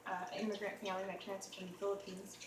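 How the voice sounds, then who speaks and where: American woman, mid pitch, thoughtful, earnest host, far from mic, echoey room, noisy background. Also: monotone, rapid-fire. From United States. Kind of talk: casual conversation.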